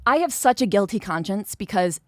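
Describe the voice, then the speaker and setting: A woman's voice: medium pitch, lively intonation, fairly quick, a little mellow, no room sound, studio quality. A woman in her thirties hosting a podcast, chatting casually with a wry, self-deprecating comic delivery. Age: 30s. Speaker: podcast host.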